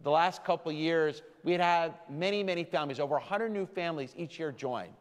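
A male rabbi addresses his congregation with a slightly high voice, leaning into key phrases with slow, deliberate emphasis. His words ring out with a long reverb tail from a large sanctuary, picked up on a stand mic. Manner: warm, deliberate preaching with slowed emphasis. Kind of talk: sermon to a congregation. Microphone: stand mic from a far camera.

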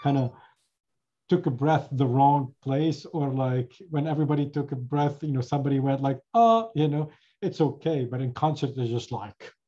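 A man's voice: medium pitch, normal speed, dark tone, lo-fi sound. A man who teaches chant, talking casually in an online class, rambling with many fillers and restarts. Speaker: chanter and instructor.

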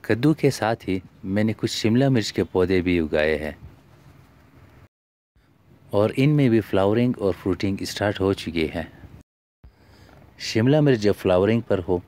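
A man's voice: lowish voice, expressive, moderate pace, pauses often, dark tone. A man, narrating a home gardening vlog as its host in a scripted voice-over.

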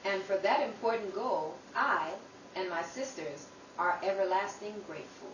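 A woman's voice: medium pitch, animated, normal speed, fairly bright tone, lo-fi sound, natural voice. A woman playing an earnest, grateful youngest sister in an old time radio drama about the Depression. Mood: earnest, reflective. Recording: distant, echoey room with clear background noise.

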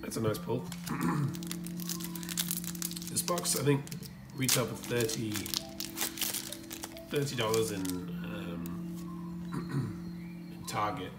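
Croaky guy opening pokemon packs, keeps clearing his throat, kinda low voice, roomy echo. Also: recorded from a distance, over loud music. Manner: frequent throat clearing, halting fillers. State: croaky, hoarse from screaming at a game. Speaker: card-opening channel host. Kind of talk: casual unboxing commentary. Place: indoor room with collectibles on shelves.

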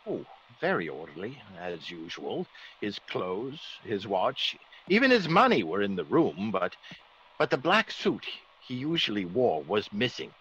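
An actor playing a genteel, easygoing English gentleman with polite, old-fashioned exclamations, in a classic radio drama. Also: on the low side, huge pitch swings, measured pace, husky, exaggerated voice. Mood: troubled. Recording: dull old radio recording.

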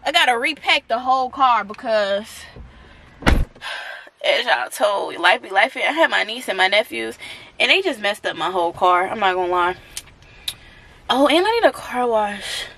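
A woman's voice: on the high side, a little slow, long pauses. A young woman around twenty chatting casually to camera in a slangy, dramatic way, as a vlogger. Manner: animated, slangy, dramatic complaining. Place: at home, then inside a car. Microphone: camera at arm's length or propped nearby. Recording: noisy camera audio with car hum.

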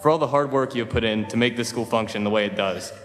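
A man's voice: medium pitch, quick pace. A teenage boy giving a humorous graduation speech at a podium, with a dry, joking, lightly self-mocking delivery. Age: teens. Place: large school auditorium stage. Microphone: podium microphone, filmed from far. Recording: reverberant hall with soft music.

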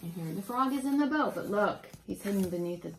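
A woman's voice: medium pitch, a little fast, slight background noise. A woman around her forties, a preschool teacher reading a picture book aloud expressively and explaining things to young children. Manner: expressive, stopping to explain words. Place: small indoor room with bookshelf and whiteboard.

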